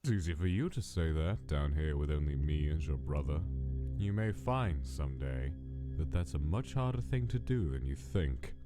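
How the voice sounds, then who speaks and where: A man's voice: a bass voice, animated, moderate pace, over music. A man voicing a cold, menacing skeleton scientist in a comic dub, speaking in a formal, clinical, condescending manner. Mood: cold, doubting. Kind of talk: dramatic comic dub voice acting.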